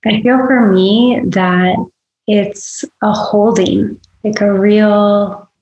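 Gravelly-voiced woman in a roomy space. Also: mid-range voice, steady tone, extremely slow, darkish tone, dull audio. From Michigan, USA. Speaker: podcast guest. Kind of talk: podcast interview.

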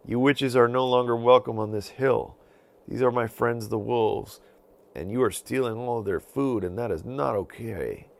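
A man's voice: mid-range voice, normal speed, gravelly, voice pushed up, close to an everyday voice. A man voicing a brave, helpful hero in a children's story, confident and protective, speaking in firm declarations. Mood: indignant, firm.

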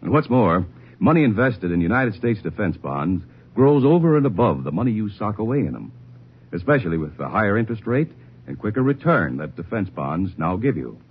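A man's voice: fairly low pitch, big pitch swings, brisk, husky. A man reading a persuasive, earnest 1950s radio savings ad. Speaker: announcer. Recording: dull old radio broadcast.

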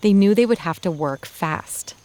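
A woman hosting a podcast, reading a scripted story in a measured, clear storytelling voice. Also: medium pitch, animated, darkish tone. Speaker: podcast host and narrator. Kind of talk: scripted narration.

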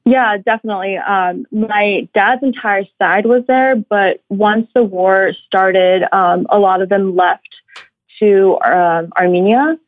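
A woman in her late twenties from Syria, speaking softly and hesitantly as a guest, recorded over a phone line. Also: mid-range voice, takes it slowly, dry recording. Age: late 20s. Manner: soft-spoken, hesitant, many ums. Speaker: guest caller. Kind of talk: casual interview chat.

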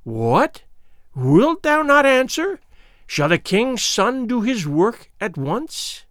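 Piping, drawn-out, mocking old crone. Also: male voice, very high-pitched, big pitch swings, fairly bright tone, dry recording, studio quality, voice pushed up, full character voice. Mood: sharp, menacing.

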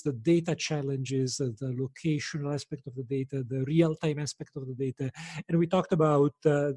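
A man hosting an interview, asking measured, curious questions. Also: on the high side, warm tone, dry recording, clean studio sound. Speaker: interviewer host.

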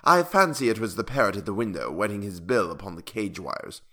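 Royal courtier, male narrator acting: measured, smoothly placating, storybook delivery with varied pitch. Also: lively intonation, pushed and bright.

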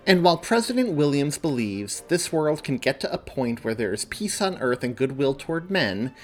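Male narrator as young female journalist, earnest, stubborn perfectionist, emphatic, deliberate, audiobook dialogue, music behind. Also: on the high side, sing-song, voice pushed up. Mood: earnest, presenting.

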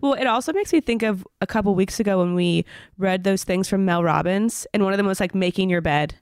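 A woman co-hosting a podcast, chatting casually with a friend, playful and teasing and quick to giggle. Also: mid-range voice, darkish tone, clean tone, dry recording, clean studio sound. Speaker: podcast co-host.

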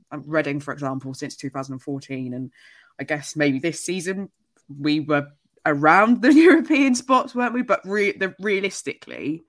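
Hedging woman pundit. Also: deep voice. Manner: hedging, with many restarts and frequent laughs. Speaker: podcast guest pundit. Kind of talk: casual football podcast chat.

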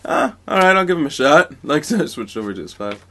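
A man's voice: fairly high pitch, sing-song, fast, bright, forceful tone. A young man co-hosting a podcast, riffing through improvised comedy bits and often laughing through his lines. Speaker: podcast co-host.

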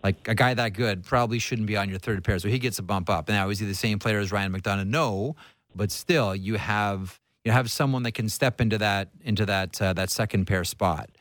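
Dry-sounding, low-voiced man hosting sports talk. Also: brisk, a bit bright, no room sound. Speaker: sports talk show host. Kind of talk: conversational sports analysis.

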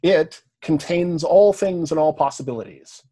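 Husky-voiced Canadian man thinking aloud. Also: fairly high pitch, measured pace, mellow. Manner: thinks aloud, often checks in with "right?", occasional swearing. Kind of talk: casual podcast discussion, lecture-like.

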